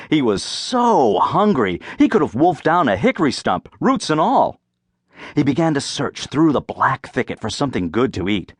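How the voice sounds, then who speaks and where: A male audiobook narrator tells folk tales from a script in a dramatic, clearly phrased way. His medium-pitched voice moves up and down with lots of animation. The recording is dry, with no room sound. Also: no echo.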